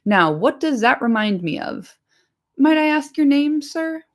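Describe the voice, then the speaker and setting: A woman voicing a fat, bustling, bald male innkeeper who talks quickly and without pause. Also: high voice, very animated, pitched above the performer's own voice. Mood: chatty, harried. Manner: brisk, talkative, hurried.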